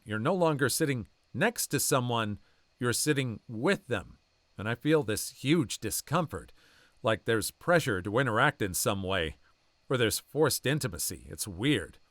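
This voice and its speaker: Lively man narrating online stories. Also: mid-range voice, no room sound. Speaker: story narrator. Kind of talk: reading online posts aloud.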